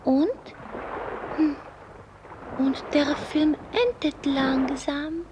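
Girlish, high-voiced, flighty young lady. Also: steady tone, extremely slow. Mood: dreamy, playful. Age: young adult. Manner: girlish, theatrical. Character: flighty, vain, easily frightened rich young woman. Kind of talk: German radio play acting. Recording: noisy radio play with outdoor ambience.

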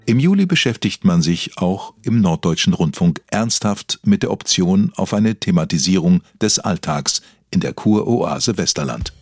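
A man's voice: low-pitched, very animated, normal speed, darkish tone, husky, no echo, soft background music. A man reading scripted narration as the narrator of a mock-serious radio documentary.

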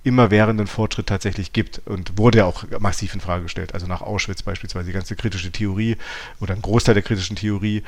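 A man with a fairly low-pitched voice co-hosts a talk podcast. He speaks in a reflective, analytical way, thinking aloud and often correcting himself mid-sentence. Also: fairly even intonation, fast. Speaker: podcast co-host. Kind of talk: reflective philosophical discussion.

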